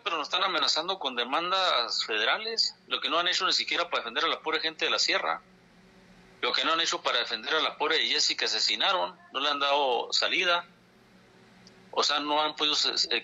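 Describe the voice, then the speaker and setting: A man's voice: medium pitch, pushed and bright, slightly rough. A man from Chihuahua, a dairy farmers' leader, speaking in a phone interview in an indignant, insistent way, repeating his points for emphasis. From Chihuahua, Mexico. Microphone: telephone. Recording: phone line.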